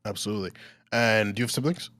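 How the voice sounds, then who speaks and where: A man hosting an interview, asking relaxed questions and giving short encouraging replies. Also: on the low side, fast, no room sound. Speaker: podcast host. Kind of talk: casual interview chat.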